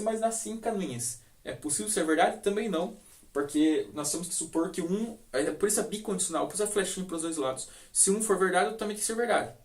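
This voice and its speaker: A man with a Brazilian Portuguese accent explaining a logic lesson, pausing often with fillers and asking rhetorical questions. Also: on the high side, a little mellow. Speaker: teacher. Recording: echoey room, distant mic.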